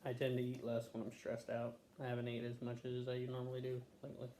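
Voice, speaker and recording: A man's voice: mid-range voice, completely flat delivery, quick pace, dark tone, slight background noise. A man answering health questions as a patient, in terse, casual, offhand replies.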